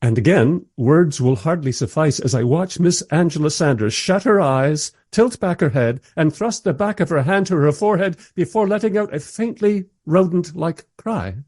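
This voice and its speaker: An actor in a radio play voicing a polite, dryly sceptical radio interviewer with a measured, understated manner. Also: male voice, on the high side, lively intonation, darkish tone, dry recording, studio quality. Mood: dry, bemused.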